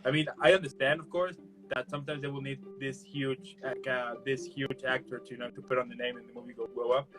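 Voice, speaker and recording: Chatty Spanish-speaking host, music behind. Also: male voice, mid-range voice, flat delivery, fairly quick, few pauses, rough recording. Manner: rambling, many restarts and "you know". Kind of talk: casual interview chat.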